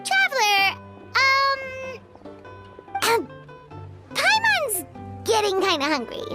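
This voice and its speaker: Little cheerful game companion girl, extremely high, animated intonation, bubbly, childlike. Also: slow pace, loud music behind the voice, exaggerated voice. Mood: hungry, hinting. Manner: bubbly, refers to self by name. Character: cheerful childlike floating girl companion who loves food. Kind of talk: video game cutscene voice acting.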